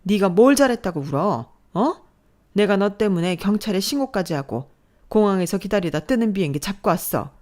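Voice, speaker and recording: A woman voicing a domineering, furious middle-aged mother-in-law who shouts and scolds harshly. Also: mid-range voice, animated, moderate pace, pitched above the performer's own voice, close to an everyday voice.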